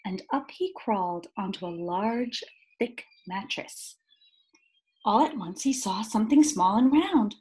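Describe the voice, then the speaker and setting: A woman reading a picture book aloud to young children, expressively, with deliberate dramatic pauses and emphasis. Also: mid-range voice, animated, a little mellow, low-quality recording.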